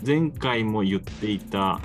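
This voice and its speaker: A man's voice: fairly low pitch, slow pace, music in the background. A man chatting casually as the host of a radio show. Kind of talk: casual chat.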